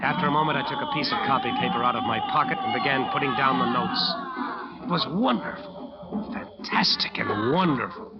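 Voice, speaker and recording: A man's voice: mid-range voice, expressive, a little fast, lightly acted. An actor playing a driven, ambitious musician who narrates his nightmare dramatically in an old radio drama. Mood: horrified fascination. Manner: dramatic, intense storytelling. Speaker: narrator and lead character. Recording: dull old radio recording, music behind.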